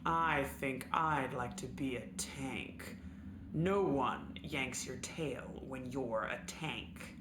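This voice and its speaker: A woman's voice: very deep voice, takes it slowly, roomy sound, background noise. A woman playing a self-important, militant warhorse in a comic radio play, blustering and superior. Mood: wistful, puzzled.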